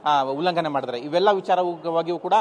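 A man's voice: on the high side, fairly quick. A man with a Kannada accent giving a live news report in long explanatory phrases with hesitant gaps. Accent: Kannada, Karnataka. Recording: faint ambient noise.

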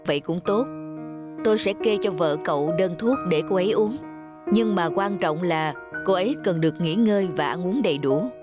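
A man plays a family doctor in a cartoon. He is calm and professional, advising in a measured manner. Music sits behind the voice. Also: high-pitched, close to an everyday voice. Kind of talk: cartoon voice acting.

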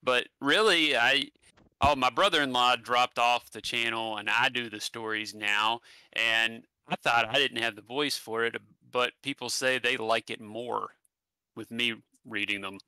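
West virginia guy on a headset call, really bright crisp voice, dry sound, lots of ums and restarts. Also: medium pitch, steady tone, no room sound, poor audio. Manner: halting, many fillers and restarts. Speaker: guest, paranormal channel creator. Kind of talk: casual conversational interview. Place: home room, indoors. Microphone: headset mic on a video call.

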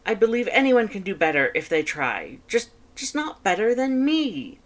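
A woman's voice: medium pitch, very animated, normal speed, voice pushed up, lightly acted. A woman voicing a proud, competitive male skeleton in a fan fiction audiobook, speaking emphatically and formally with theatrical flair. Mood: indignant.

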